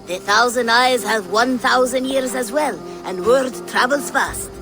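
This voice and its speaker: A woman's voice: on the high side, unhurried, over music. A woman playing a self-assured child who masters a merchant guild in a video game, formal and grandly courteous. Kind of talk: video game character acting.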